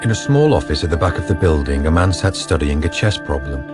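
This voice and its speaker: A man's voice: very deep voice, lively intonation, quick pace. A man narrating a scripted radio drama. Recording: prominent music behind narration.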